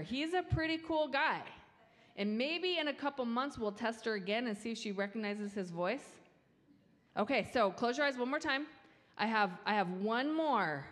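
A middle-aged woman leading a playful children's talk with a handheld mic on a church stage. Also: fairly high pitch. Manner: animated, playful, talks to kids. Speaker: children's story leader. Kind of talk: lively children's talk with a game. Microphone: handheld microphone. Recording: reverberant church hall.

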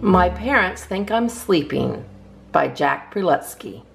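Low, animated woman reading children's poems. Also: slow, vocal fry, soft background music. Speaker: storytime host reading a picture book. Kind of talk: expressive read-aloud of children's poems.

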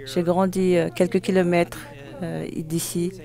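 Interpreter at work, halting phrasing. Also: medium pitch, level tone, creaky, mellow. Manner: halting, phrase by phrase with self-corrections. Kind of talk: live spoken interpretation of a formal speech.

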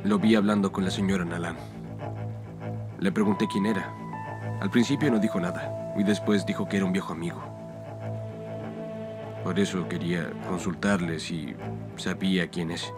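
A young man dubbing an earnest, wary young character in a TV drama, speaking politely and quietly. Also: deep voice, fairly even intonation, moderate pace, pauses often, over music, natural voice. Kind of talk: Spanish dub of a TV drama.

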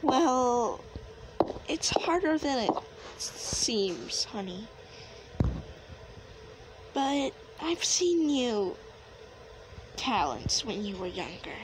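A woman playing a gentle, reminiscing mother in an improvised game roleplay, telling her story haltingly. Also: fairly high pitch, big pitch swings, noisy background, heavily acted. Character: gentle, reminiscing mother of a young dragon tamer.

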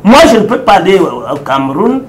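A middle-aged man with a Congolese French accent argues his case as a guest lawyer, pressing and repeating his points. His voice sits high for a man. A faint hum lingers under his speech. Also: big pitch swings, fast talker. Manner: emphatic, repeats points, mixes French and Lingala. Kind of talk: animated political interview. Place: indoor office with bookshelves.

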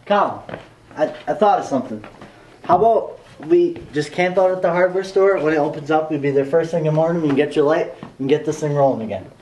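A man's voice: a little high, fast talker, crowd noise, lightly acted. A man playing a reluctant, easily offended amateur actor, talking in a hesitant, defensive, naturalistic way. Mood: earnest. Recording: echoey room, faint background noise.

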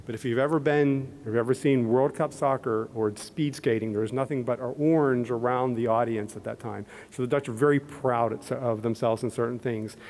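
A middle-aged man with a Pittsburgh accent speaks to an audience from a podium, his pitch sitting mid-range for a man. He talks conversationally, with "um"s and restarts. The sound carries the long reverb of a large hall. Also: fairly even intonation, moderate pace, few pauses, a little mellow. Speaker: embassy economist and panel moderator. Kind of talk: public talk at a podium. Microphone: podium microphone.

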